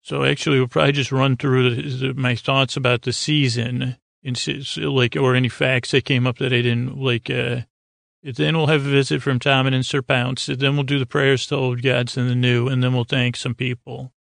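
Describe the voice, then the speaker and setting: A man hosting a bedtime podcast in a rambling monologue, stammering and derailing himself, with a gravelly, creaky voice. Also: medium pitch, little pitch movement, moderate pace, a bit bright, no room sound, clean studio sound. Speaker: podcast host.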